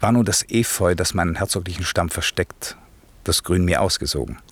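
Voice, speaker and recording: A grave, commanding magician and father in a classic radio drama. He declaims solemnly in a deep voice, recorded close and very dry. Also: fairly quick, breathy edge, dry recording. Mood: grave, bitter. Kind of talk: classic German radio play acting.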